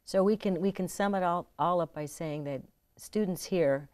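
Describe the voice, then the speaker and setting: A woman's voice: lowish voice, normal speed, a little mellow. A woman hosting a local TV talk show, introducing guests and asking questions in a polite, upbeat way. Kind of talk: studio interview hosting.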